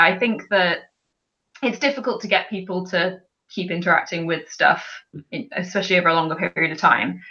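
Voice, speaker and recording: A woman's voice: on the low side, pushed and bright. Chatty woman on a lo-fi stream. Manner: chatty, laughs often. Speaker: livestream host. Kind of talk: casual group chat. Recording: dull lo-fi stream audio.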